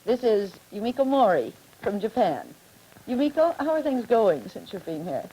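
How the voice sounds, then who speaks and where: Woman, 1950s television moderator; mellow, brisk, teases her young guests. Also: on the high side, sing-song, a little hiss or hum, poor audio. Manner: brisk, teasing questions to guests. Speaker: moderator of a televised student forum.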